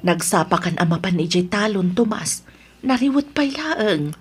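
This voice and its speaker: A woman acting a worried, caring elderly wife and mother with a husky voice in a radio drama. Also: mid-range voice, expressive, normal speed, faint music behind. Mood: gently worried.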